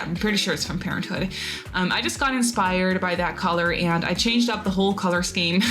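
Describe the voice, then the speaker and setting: A woman's voice: mid-range voice, a bit bright. A woman who learned English as a second language, chatting in a rambling, casual voiceover, laughing at herself now and then and filling gaps with "um" and "like". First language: not English. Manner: rambly, chatty, many fillers and restarts. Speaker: Sims builder and YouTuber. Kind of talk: casual voiceover of a speed build video.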